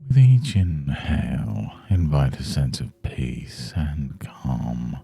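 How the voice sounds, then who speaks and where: Very deep, gravelly, dark-voiced man guiding meditation. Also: big pitch swings, soft background music. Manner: soothing, measured, hushed instruction. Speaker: meditation guide. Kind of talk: guided Yoga Nidra meditation.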